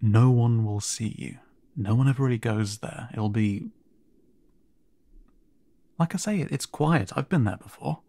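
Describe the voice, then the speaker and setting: A man playing a kind, awkward siren merman in an audio roleplay, speaking to the listener in a hesitant, stammering, gently encouraging way. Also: medium pitch, measured pace, vocal fry, long pauses, no room sound. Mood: hesitant, reassuring. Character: kind, awkward male siren merfolk guiding a newcomer. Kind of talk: intimate audio roleplay drama, addressed to the listener.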